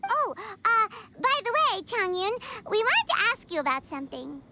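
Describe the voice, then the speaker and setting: A woman voicing a tiny, excitable, childlike fairy companion in a video game, chatty and full of exclamations. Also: very high voice, normal speed, heavy background noise, heavily acted. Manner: refers to self in third person, exclaims.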